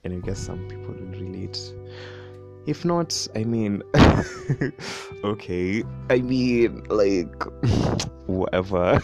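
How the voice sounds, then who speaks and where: A young Kenyan man with a deep voice and a Kenyan accent, chatting casually on his own podcast, rambling with many fillers and frequent laughs. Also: lively intonation, extremely slow, gravelly, darkish tone, faint music behind. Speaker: podcast host. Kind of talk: casual solo podcast chat.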